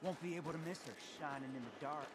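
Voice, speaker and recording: A man acts out a nervous Old West debtor in a dramatic video game. His American-accented voice is high for a man, hesitant and eager to placate. Clear noise of horses and open country is heard behind him. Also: fast, natural voice. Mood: hushed, wary. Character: nervous, pleading male frontier debtor and hunter.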